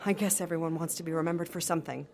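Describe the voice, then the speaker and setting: An actress playing a wry storyteller who recounts events in a knowing, reflective way, as scripted audio drama narration. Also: female voice, deep voice, steady tone, rapid-fire, darkish tone. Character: wry female storyteller recounting events she lived through. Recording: narration over a music bed. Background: music.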